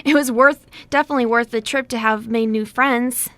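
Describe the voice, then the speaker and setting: A woman's voice: a little high, steady tone, fairly quick, a bit bright, no echo, natural voice. A woman playing a friendly, tactful young sister in an amateur radio play, talkative and eager. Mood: delighted.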